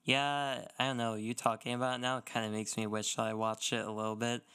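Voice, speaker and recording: A man's voice: medium pitch, little pitch movement, fairly quick, pushed and bright, clean tone. A man co-hosting a podcast in casual chat, easygoing and agreeable. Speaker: podcast co-host.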